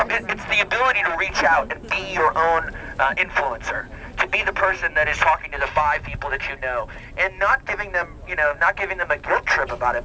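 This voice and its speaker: A man's voice: fairly high pitch, sharp tone, low-quality recording. Earnest man speaking through a megaphone outdoors. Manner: earnest, halting, many ums and restarts. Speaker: band member addressing a charity walk crowd. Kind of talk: outdoor motivational speech. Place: outdoors at a university campus crowd. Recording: shaky handheld camera, faint background noise.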